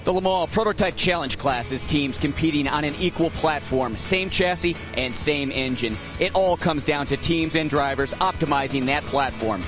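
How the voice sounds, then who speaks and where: Male pit reporter, slightly high, brisk factual preview, music bed. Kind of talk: scripted race preview.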